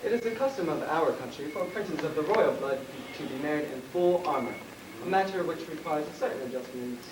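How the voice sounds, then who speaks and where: A man playing a smooth-talking, deferential prince's attendant in an amateur stage comedy, polite and measured. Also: on the high side, animated, lightly acted. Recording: distant, echoey, noisy stage recording.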